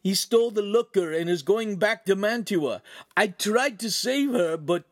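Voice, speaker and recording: A man voicing a frightened, naive young peasant squire in an audiobook, pleading and breathless with rough, uneducated speech. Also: high voice, fast, no echo, clean studio sound, voice pushed up. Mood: frantic babbling. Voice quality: strained, breathless.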